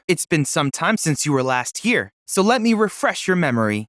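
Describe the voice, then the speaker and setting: A youthful android research assistant from a video game. The delivery is lively and melodic, with careful, bookish diction and an eager, courteous temper. The sound is close and very dry. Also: male voice, sits high, dry recording. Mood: briefing, confident. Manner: formal, articulate, bookish phrasing. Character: polite, precise, eager boy android researcher and guide. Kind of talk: scripted video game character dialogue.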